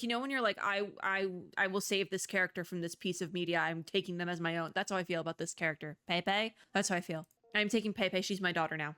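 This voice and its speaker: A woman's voice: mid-range voice, a bit bright. A woman giving casual, chatty game commentary as a streamer and reviewer, with informal, playfully exasperated reactions.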